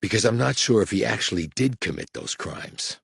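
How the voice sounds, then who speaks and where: A man's voice: on the low side, lively intonation, brisk, vocal fry, breathy edge, dry recording, studio quality, voice pushed up, full character voice. A man doing a terse, hulking federal agent. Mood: low-key. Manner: quiet, terse, matter-of-fact. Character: huge, blunt male federal agent, low-key.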